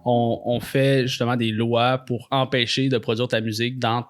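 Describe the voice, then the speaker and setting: A male co-host with a Quebec French accent talks casually at an ordinary pitch. He rambles, stutters over repeated words and drifts off his point. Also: flat delivery, normal speed. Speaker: podcast co-host. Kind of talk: casual podcast conversation.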